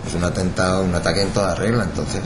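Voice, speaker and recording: Middle-aged man, deep voice, halting interview answers, echoey noisy room. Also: steady tone. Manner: halting, restarts words, frequent filler "o sea". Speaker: interviewee, retired intelligence agent. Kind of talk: documentary interview. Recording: echoey room with background noise.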